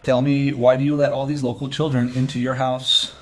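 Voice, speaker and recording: A male detective, played by an actor in a crime audio drama. His voice sits at a middle pitch, and he questions bluntly, with suspicion and a sardonic edge. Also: level tone, fairly quick, natural voice. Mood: accusing. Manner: probing, accusatory.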